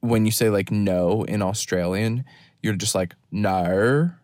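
A man giving a rambling, deadpan and self-mocking running commentary from a parked car, recorded on his phone. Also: fairly low pitch, measured pace, no room sound. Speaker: podcast host. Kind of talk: rambling off-the-cuff monologue. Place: parked car in a store parking lot.

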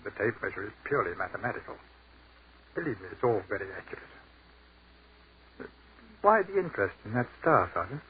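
An actor playing an educated army captain who speaks in a crisp, articulate and lyrical way, in a 1940s radio drama. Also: male voice, lowish voice, very animated, brisk, long pauses, heavily acted. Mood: measured. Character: educated male army captain, dreamy and commanding. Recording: tinny, hissy 1940s radio broadcast.

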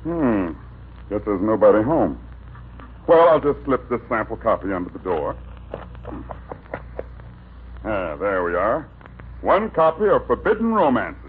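A man's voice: on the low side, big pitch swings, a little slow, pauses often. An actor playing a door-to-door magazine salesman muttering to himself in an old radio sitcom. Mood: musing. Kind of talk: 1940s-50s radio sitcom acting. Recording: tinny old radio recording, hiss.

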